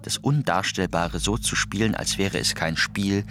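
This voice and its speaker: A man's voice: low voice, normal speed, a bit bright. A man narrating a scripted documentary piece. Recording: music under the voice. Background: music.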